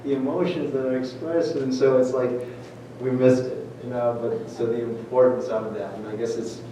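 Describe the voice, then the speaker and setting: A man's voice: mid-range voice, moderate pace. A man, a priest, talking casually to his parish and answering questions, full of "like" and "I mean". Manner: animated, filler-heavy, lots of "like". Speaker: priest giving a parish talk. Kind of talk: casual talk and Q&A. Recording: echoey church hall, distant mic, noisy.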